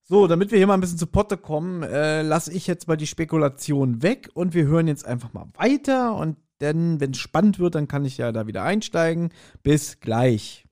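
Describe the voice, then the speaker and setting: A man's voice: on the high side, animated, normal speed, few pauses, a little mellow. A man co-hosting a podcast, commenting on a story in a colloquial, wry, chatty way. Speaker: podcast co-host. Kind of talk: casual chat commenting and speculating on an audio drama.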